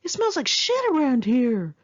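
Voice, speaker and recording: A woman's voice: high-pitched, huge pitch swings, a little slow, dull audio, pitched above the performer's own voice, heavily acted. An American woman voicing a flamboyant, brash, drunk young Mexican man in a campy, exaggerated way for an audiobook memoir.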